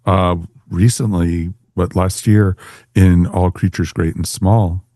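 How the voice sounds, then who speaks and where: A man's voice: deep voice, steady tone, slow, dark tone, no room sound, clean studio sound. A man hosting a podcast in casual panel chat. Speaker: podcast host.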